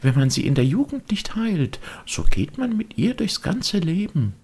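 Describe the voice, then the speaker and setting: A man voicing a gentle, motherly fairy, kind but admonishing, in a measured audiobook character voice. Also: high voice, big pitch swings, breathy edge, pitched above the performer's own voice, exaggerated voice.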